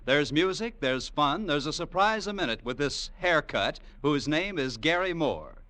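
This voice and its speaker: Bright, forceful American radio announcer man. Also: a little high, expressive, a little slow. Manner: polished, punchy salesman delivery. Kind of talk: 1940s radio promotional announcing.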